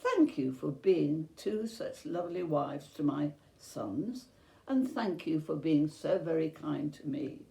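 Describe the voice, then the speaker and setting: A woman voicing a kindly, selfless older widowed mother in a children's storybook tale, gentle and earnest. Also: low-pitched, animated, takes it slowly, vocal fry, exaggerated voice. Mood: kind, resolute. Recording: roomy, echoey sound.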